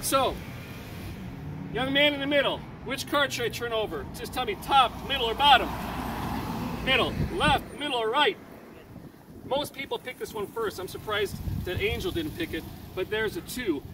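A man's voice: very high-pitched, big pitch swings, normal speed, gravelly. A man in his fifties from Chicago, doing a card trick on a residential street and chatting with the onlookers he quizzes as he counts cards aloud. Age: 50s. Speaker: street magician and preacher. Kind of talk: outdoor card trick demonstration with banter. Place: residential street outdoors, kneeling on the sidewalk. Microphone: camera mic from a few meters away. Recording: distant camera mic, loud street noise.

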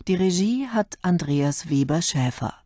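A woman's voice: fairly low pitch, expressive, unhurried, warm tone. A woman reading a scripted radio play announcement as the announcer.

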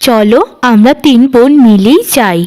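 A woman's voice: fairly high pitch, normal speed, darkish tone, voice pushed down, lightly acted. A woman voicing an innocent, obliging young girl, the trusting youngest sister in a cartoon fairy tale. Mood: eager, obliging.

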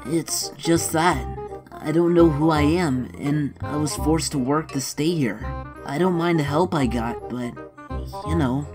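A woman's voice: low voice, a little slow, music in the background. A woman voicing a timid, confused young male mouse creature with amnesia in a comic dub, hesitant and earnest. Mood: distrustful. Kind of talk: fan comic dub voice acting.

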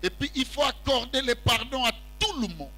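Lively high-pitched preacher. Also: male voice, moderate pace. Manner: call-and-response preaching, mixing French and Lingala; walks about the stage while preaching. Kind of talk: sermon. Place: large church hall with congregation. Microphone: handheld mic.

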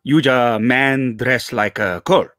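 A man's voice: mid-range voice, steady tone, takes it slowly, a bit bright, dry recording, studio quality. An older man playing a stubborn Korean immigrant father who runs a shop, speaking blunt, broken English with a Korean accent over a headset on a video call. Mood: gruff. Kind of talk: live table read. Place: home room.

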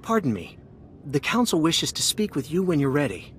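Reptilian alien apprentice: extremely low, lively intonation, courteous, faint rumble behind. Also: female voice, moderate pace. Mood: respectful. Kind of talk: video game voice acting.

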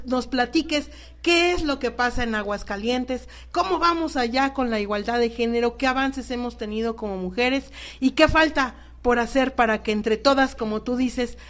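A woman's voice: on the high side, normal speed. A woman with a Mexican accent hosting a live radio talk show, addressing guests and listeners.

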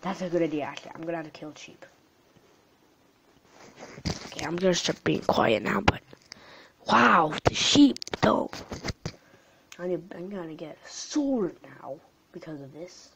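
A young woman with a tired voice commenting on a game she is playing late at night at home, gasping and jumping between alarm and hushed whispers. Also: lowish voice, lively intonation, measured pace, creaky, pauses often, low-quality recording. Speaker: gaming channel host. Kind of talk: live gameplay commentary.

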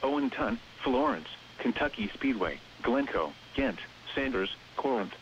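A synthetic-sounding man reads scripted emergency weather announcements over the radio in a measured, impersonal, clipped way. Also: mid-range voice, animated. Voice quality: synthetic text-to-speech sound. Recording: muffled, hissy weather radio broadcast.

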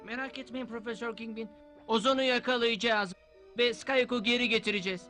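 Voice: medium pitch, steady tone, brisk, pushed and bright, lightly acted. An actor voicing an earnest, determined boy hero in a dubbed cartoon, with an eager manner. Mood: confident, reassuring. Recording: music behind the voice.

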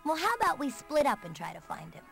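A woman's voice: high-pitched, very animated, fast talker, creaky, a bit bright, soft background music, exaggerated voice. A young woman with an American accent playing an upbeat skater girl and comic artist in a video game cutscene, perky and slangy.

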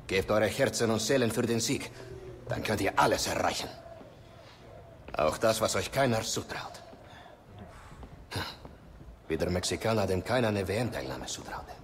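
A football coach in a video game, rallying his players before a decisive match. His voice sits low and swings widely in pitch as he urges them on, with faint noise underneath. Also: expressive, moderate pace, long pauses. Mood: rousing. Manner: rousing pep talk.

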